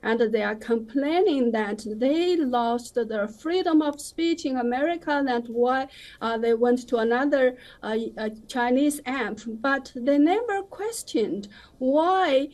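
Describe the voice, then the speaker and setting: A woman giving opinionated commentary as a guest in a live news interview, with halting, hesitant phrasing. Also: high voice, expressive, darkish tone.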